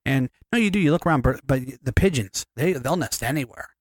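A man's voice: mid-range voice, lively intonation, brisk, dry recording, studio quality. Rambling, profane man. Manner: rambling, profane, many restarts. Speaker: podcast co-host. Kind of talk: casual chat.

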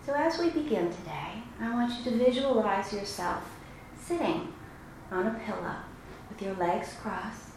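A woman leads a guided meditation, giving gentle instructions with long pauses between phrases. Her mid-pitched voice rings in a very reverberant room. There is clear noise behind her. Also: animated. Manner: slow, soothing, instructive delivery with long pauses. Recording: very echoey room, clearly noisy background.

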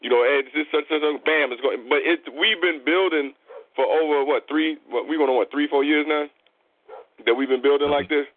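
Husky fast-talking man, phone line. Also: a little high. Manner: earnest, repetitive, explaining. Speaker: host of a call-in show. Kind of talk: casual spiritual chat. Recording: dry tinny phone line.

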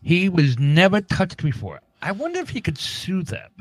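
A man's voice: high-pitched, wildly swooping pitch, moderate pace, husky, no echo. A man co-hosting a casual talk show, blunt and opinionated in his chat. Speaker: radio show co-host.

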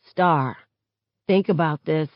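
A woman voicing an earnest, cautious teenage boy in an audiobook, measured and questioning. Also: low voice, dry recording, dull audio. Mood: cautioning. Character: uneasy, reasonable teenage boyfriend, cautious and earnest. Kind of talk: audiobook character acting.

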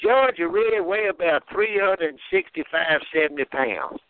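High-voiced man roasting over a phone line. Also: expressive, measured pace. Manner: mocking, repetitive roasting. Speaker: caller. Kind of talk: casual phone chat. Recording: tinny phone line, faint hiss.

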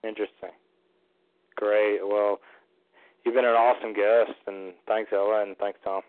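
Man co-host, lowish voice, short interjections, dry tinny line. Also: little pitch movement, a little slow, no room sound. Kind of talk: interviewing a guest.

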